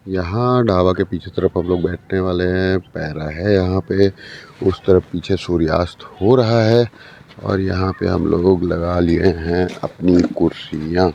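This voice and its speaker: Indian man, casual motorcycle vlogger, very mellow dark tone, dull muffled outdoor recording. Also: low-pitched, fairly even intonation, unhurried, creaky, a little hiss or hum. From rural India. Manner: informal, addresses viewers as brothers. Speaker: motorcycle travel vlogger and host. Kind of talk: casual vlog talk to viewers. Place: outdoors, village roads and roadside eatery.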